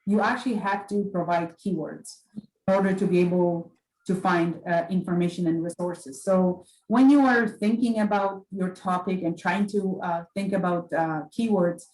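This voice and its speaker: A woman's voice: lowish voice, fairly even intonation, moderate pace, darkish tone, echoey room, rough recording. A middle-aged woman from Poland, a librarian giving an orientation talk, hesitant and full of fillers. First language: Polish. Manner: hesitant, many fillers and restarts.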